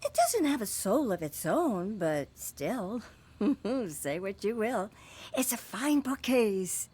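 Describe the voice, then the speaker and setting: A woman's voice: mid-range voice, sing-song, slow, warm tone, slightly rough, full character voice. An actress playing an eccentric, sentimental middle-aged aristocratic woman in a visual novel, with a dreamy, theatrical manner. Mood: whimsical, fond.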